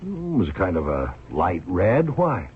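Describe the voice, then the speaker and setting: An actor playing a reluctant, puzzled police detective in a radio drama, loyal but doubtful, with a husky, halting delivery. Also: male voice, low voice, huge pitch swings, slight background noise. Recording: thin, phone-like old radio recording.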